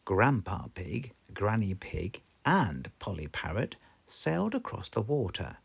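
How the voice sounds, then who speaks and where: British children's read-aloud narrator: slow, gravelly, widely swinging intonation. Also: sits low, big pitch swings. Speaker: storybook narrator.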